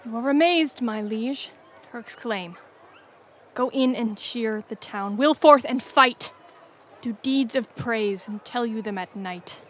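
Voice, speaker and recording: A woman's voice: a little high, slow, a bit bright, slight background noise. A woman playing a noble, dutiful and stubborn warrior prince in a Shakespearean audio drama. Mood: calm.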